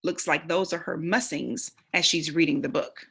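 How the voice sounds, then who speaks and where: A woman reading a storybook aloud like a teacher, pausing to think aloud and explain. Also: low-pitched, fairly even intonation, creaky, slightly rough.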